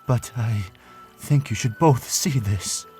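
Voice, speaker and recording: A man performs an anxious prince of fish-folk in a fantasy audio drama. His middle-pitched voice is courteous but strained, heavy with worry. Music plays faintly behind him. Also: slow, mellow, lightly acted. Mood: strained, panicked. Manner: strained, earnest, apologetic.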